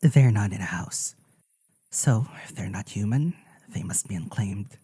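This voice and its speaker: A man's voice: on the low side, lively intonation, unhurried, dark tone, breathy edge, full character voice. A man playing a practical, guarded traveling companion in an audio drama, with a dry manner. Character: practical, guarded road-trip companion scouting for shelter.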